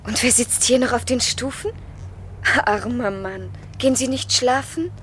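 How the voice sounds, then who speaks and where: A woman's voice: fairly high pitch, measured pace, a little hiss or hum, lightly acted. An actress playing a naive, tipsy, wistful young woman in a radio play, speaking in a plaintive, searching manner.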